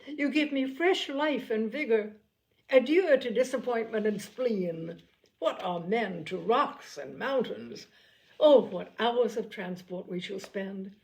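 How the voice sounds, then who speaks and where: A woman's voice: fairly high pitch, lively intonation, takes it slowly, vocal fry, darkish tone, exaggerated voice, rough recording. A woman doing a gushing, rapturous young lady. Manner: gushing, exclamatory.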